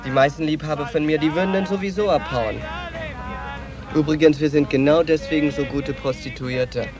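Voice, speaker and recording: An actor playing a sassy, world-weary transgender prostitute, flirtatious, candid and wistful, in a radio drama. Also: male voice, fairly high pitch, normal speed, music in the background, a crowd in the background, lightly acted. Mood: candid, brazen. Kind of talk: 1980s German radio drama acting.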